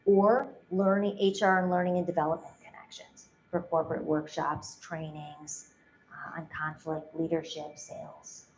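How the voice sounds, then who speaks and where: A soft-spoken woman with a fairly low voice presents her coaching work in a calm, measured way. A faint hiss sits under her voice. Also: rough recording. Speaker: presenting founder. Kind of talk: pitch presentation and Q&A.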